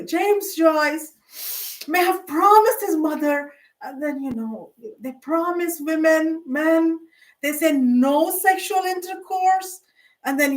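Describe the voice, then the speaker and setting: A woman's voice: very high voice, recorded from a distance, rough recording. An older woman vlogger talking in a rambling, hesitant way, full of fillers and restarts. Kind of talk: rambling casual monologue.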